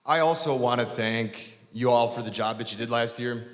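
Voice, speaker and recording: A man's voice: mid-range voice, normal speed. A man with a big voice speaking at a school board public comment, polite and careful with the microphone. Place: school board meeting hall. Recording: roomy echo and long hall reverb.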